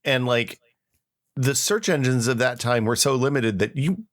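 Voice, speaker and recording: A man's voice: mid-range voice, lively intonation, moderate pace. A man in his forties co-hosting a podcast, chatting casually with a teasing, inquisitive manner. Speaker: podcast co-host. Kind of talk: casual chat.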